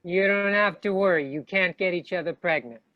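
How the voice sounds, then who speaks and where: A woman playing a wry, brisk student of the occult in a comedy table read. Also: on the low side, natural voice. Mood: teasing. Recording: dull, muffled lo-fi sound.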